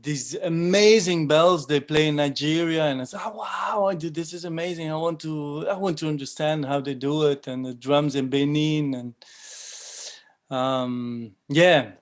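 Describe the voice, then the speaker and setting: Forty-ish guy on a video call, eager and chatty, fairly high voice, lots of ums, trails off soft. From Belgium. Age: 40s. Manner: enthusiastic, trails off softly, many ums. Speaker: guest frame drummer. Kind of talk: casual online panel chat.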